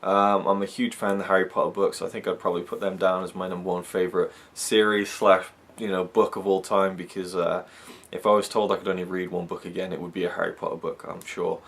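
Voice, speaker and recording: A man's voice: deep voice, level tone, fast, vocal fry, hardly any pauses. A young man from Wales with no regional accent, chatting casually to camera as a YouTube reviewer in a rambling way full of fillers.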